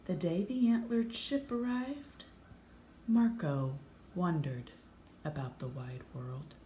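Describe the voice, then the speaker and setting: A woman's voice: fairly low pitch, slow, dark tone. A woman reading a picture book aloud expressively, with chatty asides to her listeners. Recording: roomy echo, faint background noise.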